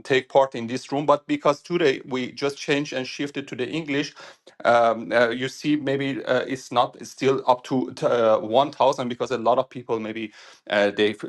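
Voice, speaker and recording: Male host, phone audio, halting earnest English. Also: mid-range voice. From Iran. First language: Persian. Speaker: room host. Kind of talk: audio chat room discussion. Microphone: phone in a voice chat app.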